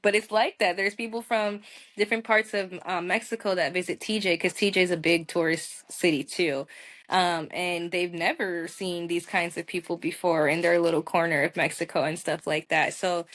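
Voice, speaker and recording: An American woman with a moderately low voice speaks as a podcast guest. Her delivery is candid and conversational. She phrases things informally and colloquially, as in relaxed everyday talk. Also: normal speed. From United States. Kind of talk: casual conversational interview answers.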